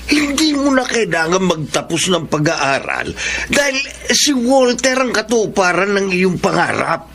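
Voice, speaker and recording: A man's voice: high-pitched, big pitch swings, unhurried, vocal fry, a bit bright, full character voice. An actor playing a pushy, scheming middle-aged Filipino father in a radio drama, talking in a colloquial, wheedling, exclamatory way. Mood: pushy, coaxing. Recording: faint background noise.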